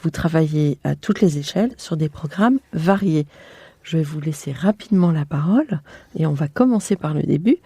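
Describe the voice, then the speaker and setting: A woman's voice: low-pitched, lively intonation, moderate pace, warm tone, slightly rough, no room sound. A French woman hosting an interview podcast, presenting guests and putting questions to them. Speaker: podcast host. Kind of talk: scripted introduction and interview questions.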